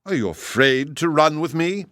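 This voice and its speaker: A man voicing a shrewd, proud little male hedgehog who speaks calmly and slyly. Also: a little high, normal speed, dry recording, studio quality, voice pushed up. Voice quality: calm, sly, plain-spoken.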